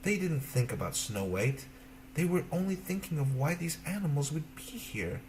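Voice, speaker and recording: A man narrating a children's fairy tale as an expressive storyteller, in simple phrases. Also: a little high, moderate pace, a little hiss or hum, poor audio.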